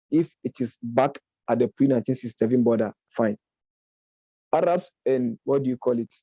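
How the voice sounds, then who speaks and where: Man, mid-pitch, very dark tone, argumentative lecture delivery with frequent self-corrections; dry, muffled recording. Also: no room sound. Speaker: speaker on politics and religion. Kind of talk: unscripted lecture-style commentary.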